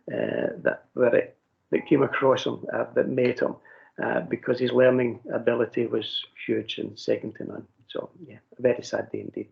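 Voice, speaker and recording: A man, a councillor, giving a halting formal tribute at a committee meeting, with many fillers and restarts. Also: mid-range voice, vocal fry. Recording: dull lo-fi meeting audio.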